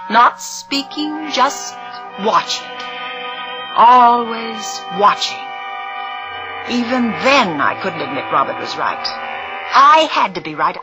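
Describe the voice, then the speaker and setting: An actress playing a proud, scheming, frightened fake medium in an intense, emphatic old-time radio suspense style. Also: female voice, fairly high pitch, rough recording. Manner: intense, melodramatic, emphatic repetition. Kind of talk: 1940s-50s radio suspense drama acting. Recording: old radio recording with music.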